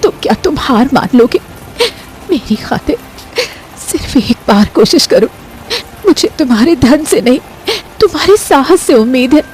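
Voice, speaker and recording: A woman's voice: high-pitched, animated, measured pace, a little mellow, low-quality recording. A woman voicing an anxious, devoted young village sweetheart in a cartoon story, emotional and pleading. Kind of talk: animated story voice acting.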